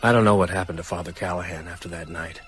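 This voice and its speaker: An actor playing a sombre survivor recounting a horror story in measured, grave first-person narration. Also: male voice, sits low, steady tone, fairly bright tone, soft background music. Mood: sombre, haunted.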